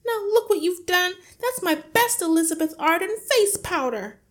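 A very high, shrill wife and mother from a storybook. She shrieks and scolds, scornful and close to hysterical, with big jumps in pitch. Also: lively intonation, normal speed. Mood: scolding.

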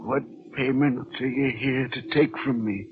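A man's voice: medium pitch, steady tone, a little slow, narrow, tinny audio, natural voice. An actor playing a brooding, guilt-haunted old railway man in 1940s radio horror, on a noisy old recording. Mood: remorseful.

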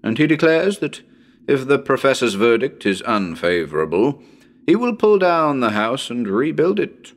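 A man reading as a genial, well-spoken radio presenter of a ghost hunt, with a measured, wry and formal manner. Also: medium pitch, big pitch swings. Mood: measured, grave. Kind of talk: dramatic reading as live radio broadcast.

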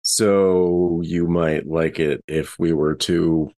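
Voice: deep voice, steady tone, takes it slowly, dark tone, no echo, clean studio sound. A tabletop roleplaying game player talking casually in character.

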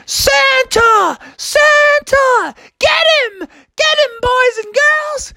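An adult storyteller voicing excited, clamoring young children who chant and shriek. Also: very high voice, takes it slowly, no room sound, studio quality, voice pushed up, full character voice.

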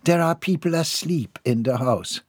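A man's voice: a little high, moderate pace, no room sound, clean studio sound, voice pushed up, lightly acted. A man voicing a prim, proper older lady who disapproves of her guests, in an audiobook. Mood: disapproving. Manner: stiff, disapproving.

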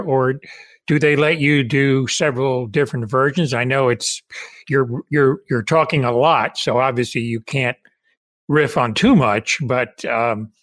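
A man's voice: medium pitch, dry recording. A man hosting a podcast interview, asking friendly, conversational questions with some restarts. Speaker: podcast host.

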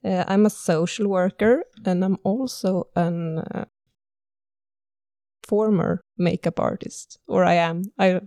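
A woman from Sweden speaking English with a Swedish accent, co-hosting a casual podcast and often pausing to search for words. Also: lowish voice, fairly even intonation, warm tone, no room sound, studio quality. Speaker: podcast co-host.

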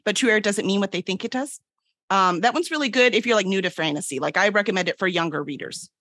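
Lady author chatting, breezy and informal, normal pitch, dry close sound. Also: quick pace, fairly bright tone, no room sound. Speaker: author, panel guest. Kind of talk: casual chat, pitching own books.

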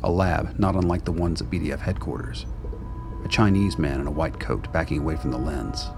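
A man's voice: extremely low pitch, a little fast. A man reading scripted dramatic narration as the narrator of a radio play. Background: music.

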